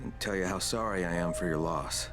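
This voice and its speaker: An actor playing a sardonic tough survivor, a newcomer proving himself, in a video game, with a wry, informal way of talking. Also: male voice, low-pitched, fairly quick, over music, lightly acted. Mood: sympathetic.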